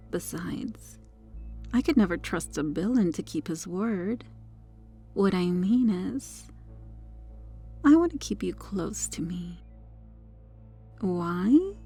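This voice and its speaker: A woman's voice: mid-range voice, vocal fry, darkish tone, exaggerated voice. A woman playing a corrupted, possessive superheroine in an ASMR roleplay, flirtatious, teasing and condescending toward the listener. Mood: possessive seductive.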